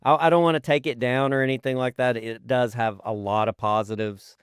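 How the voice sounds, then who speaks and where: A man's voice: medium pitch, steady tone, fairly quick, clear, resonant tone, dry recording, studio quality. A man co-hosting a podcast, talking casually in an informal, earnest way. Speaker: podcast co-host. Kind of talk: casual chat.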